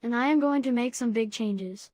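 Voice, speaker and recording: A woman playing a brisk, practical, optimistic new media boss in a scripted audio comedy. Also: fairly high pitch, darkish tone, no room sound, lightly acted. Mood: matter-of-fact.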